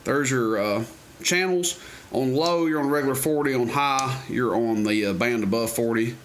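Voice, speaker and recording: A man's voice: mid-range voice, moderate pace, fairly bright tone. Man casually demonstrating gear, noisy recording. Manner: folksy, rambling, unscripted. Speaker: presenter demonstrating and selling CB radios. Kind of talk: casual product demo. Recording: noisy amateur camera recording, clicks.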